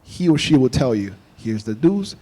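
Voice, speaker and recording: A man's voice: medium pitch, moderate pace, breathy edge, poor audio. Young guy from angola, first language portuguese, chatty storyteller on a podcast panel. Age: young adult. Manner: storytelling, animated quoting of others. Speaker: podcast guest. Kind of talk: casual panel discussion.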